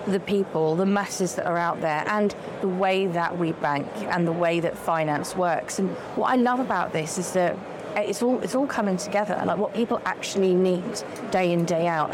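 A woman's voice: on the low side, normal speed, few pauses. A woman hosting a podcast interview live at a conference, enthusiastic and affirming toward her guest. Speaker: podcast host. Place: conference event. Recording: noisy live event floor.

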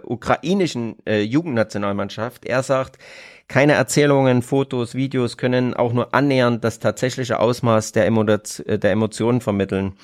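A male podcast host with a mid-pitched voice. He talks in a halting way, full of ums, as he reads out statements and asks questions. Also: no echo, clean studio sound. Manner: hesitant, with many fillers, reads out quotes. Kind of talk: podcast interview.

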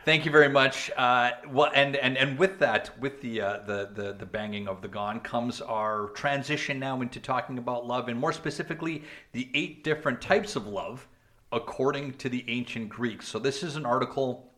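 A man's voice: mid-range voice, moderate pace, sharp tone. A man co-hosting a casual podcast chat, rambling with fillers and restarts, joking now and then. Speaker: podcast co-host.

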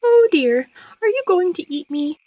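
A woman's voice: sits high, expressive, moderate pace, no room sound, recorded from a distance, muffled recording. A woman voicing a sweet, devoted young moth in a storybook tale, with an earnest, chirping delivery. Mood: distressed, timid. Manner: chirping, earnest, breathless sighs.

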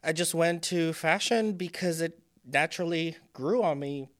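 Man in his thirties, from Puerto Rico, native Spanish speaker, smooth resonant voice, slightly high, thoughtful with frequent ums. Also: normal speed. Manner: thoughtful, many ums and restarts. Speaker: guest, fashion designer. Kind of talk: casual interview talk.

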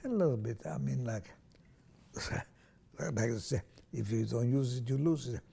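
A man's voice: medium pitch, a little slow, dark tone. An older man from Transylvania, a native Hungarian speaker, recalling his life in an oral history interview, with many restarts. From Transylvania, Romania. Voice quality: gravelly, husky, hesitant with many restarts.

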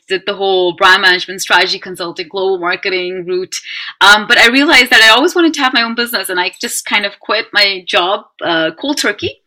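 Woman, forties, bright clear tone, emphatic, animated podcast guest. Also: medium pitch. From Netherlands. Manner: animated, emphatic, talks in quick run-on lists. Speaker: guest, brand strategy consultant. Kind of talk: podcast interview.

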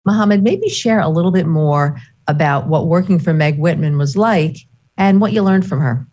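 Low-pitched female interviewer. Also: normal speed, warm tone. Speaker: co-host interviewer. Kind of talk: conversational interviewing.

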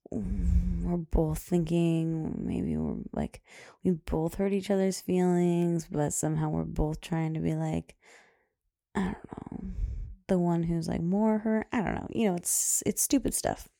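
A woman in her thirties chatting casually on her own podcast, rambling with lots of fillers, laughing often, with a loud voice. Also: fairly low pitch, animated, measured pace, vocal fry, no echo, studio quality. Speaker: podcast host. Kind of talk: casual solo podcast chat.

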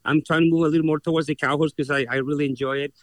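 A man's voice: a little high, steady tone, racing, no room sound. Chilean-accented man, earnest interview guest. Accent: Chilean Spanish. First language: Spanish. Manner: earnest, frequent restarts and repeated words. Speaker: horse trainer.

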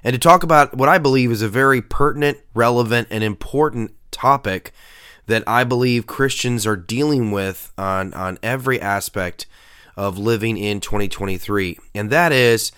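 Male podcast host and pastor, nasal voice, smooth and clear, earnest and emphatic. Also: mid-range voice, moderate pace, fairly bright tone. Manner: earnest, emphatic, with frequent uh fillers. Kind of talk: podcast sermon talk.

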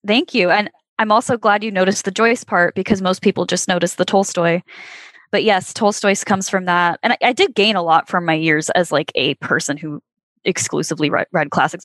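A woman's voice: lowish voice, normal speed, fairly bright tone, dry recording. Reflective young woman, dry close sound. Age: 20s. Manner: reflective, thoughtful storyteller. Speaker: podcast guest, former child actor. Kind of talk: casual podcast conversation.

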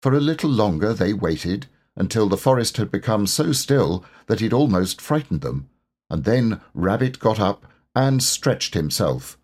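A man reading a children's storybook aloud as an expressive, scripted narrator. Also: on the low side, measured pace, husky. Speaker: storybook reader.

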